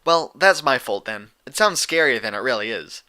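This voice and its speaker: A man's voice: mid-range voice, normal speed, smooth. A man voicing an earnest, bookish female pony who explains things eagerly and a little awkwardly, in a storybook reading. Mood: reassuring. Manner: explanatory, excitable, slightly awkward.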